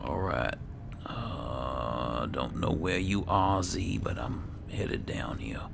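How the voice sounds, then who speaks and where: A man's voice: extremely low pitch, expressive, slow pace, gravelly, noisy background. A man doing in-character roleplay commentary on a game, muttering to himself in short phrases. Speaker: gaming video host playing in character.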